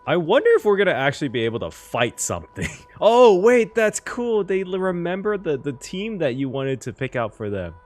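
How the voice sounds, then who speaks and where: A man's voice: fairly high pitch, very animated, moderate pace, hardly any pauses, darkish tone. A man giving casual, effusive game reaction commentary as host, emotive and repeating phrases for emphasis.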